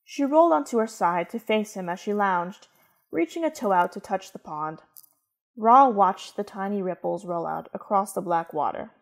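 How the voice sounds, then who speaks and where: Female narrator, mid-pitched, for scripted dramatic storytelling. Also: expressive, normal speed, creaky.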